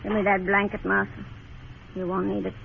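A woman's voice: medium pitch, flat delivery, moderate pace, creaky, narrow, tinny audio, close to an everyday voice. An actress playing a hard, imperious frontier woman in a radio Western, giving curt orders. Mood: grim, commanding. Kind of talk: 1950s radio drama acting. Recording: thin crackly old radio recording.

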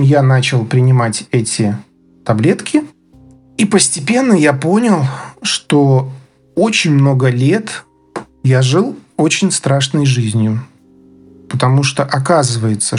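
Male interview guest, around forty, from Belarus: mid-pitched, thoughtful, hesitant personal storytelling over faint music. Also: a little mellow, slightly rough. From Minsk, Belarus. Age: 30s to 40s.

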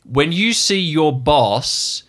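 A man's voice: fairly high pitch, sing-song, slow, a bit bright, voice pushed up, exaggerated voice. A man playing a stressed, irritable mayor and father in a tabletop game, curt, insistent and repeating himself. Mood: irritated, bossy. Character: blustering irritated small-town mayor, stressed dad.

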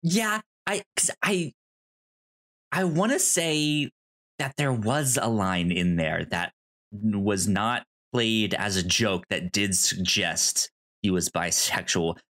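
A man co-hosting a podcast, casually discussing films in a halting way, circling back over his words. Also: on the low side, a bit bright. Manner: hesitant, halting, restarts and repeats words. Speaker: podcast co-host.

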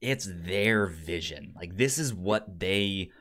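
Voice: deep voice, lively intonation, slow, fairly bright tone. A podcast co-host in casual chat, rambling along and often repeating words.

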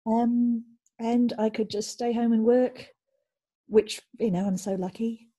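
A woman who grew up in Belgium, a guest on a casual interview chat, speaking in a friendly, gentle, cozy way. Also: on the high side, fairly even intonation, unhurried. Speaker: interview guest, PhD student.

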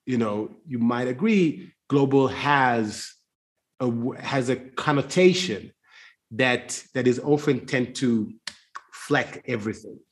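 Male, mid-range voice. Thinks aloud in conversation, circling and restarting as he searches for the point. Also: mid-range voice. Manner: searching, halting, circling around his point. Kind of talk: reflective conversation.

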